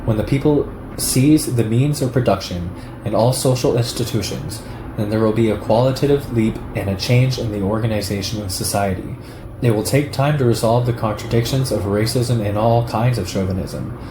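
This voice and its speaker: A man reading a political text aloud in a measured, declarative way, like an audiobook. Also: fairly low pitch. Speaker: reader of a political essay. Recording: echoey room with clear background noise.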